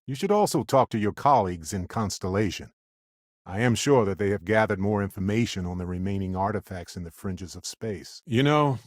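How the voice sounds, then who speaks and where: Lively, wry space explorer, lowish voice. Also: male voice, no echo, studio quality. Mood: earnest. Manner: conversational, wry, expressive. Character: affable, wry male space explorer companion. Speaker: video game voice actor. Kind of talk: video game companion dialogue.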